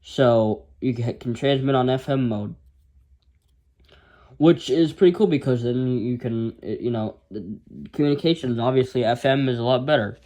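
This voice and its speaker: American guy doing a casual gadget demo, kinda rambly and halting, informal, normal mid pitch. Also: mellow. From United States. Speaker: amateur gadget reviewer. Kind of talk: casual unscripted product demonstration.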